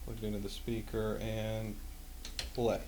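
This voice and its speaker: A man's voice: fairly low pitch, completely flat delivery, slow pace, mellow, a little hiss or hum. A man giving a casual, unscripted hands-on demonstration of old equipment in a wry, self-deprecating, offhand way. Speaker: host demonstrating vintage audio equipment.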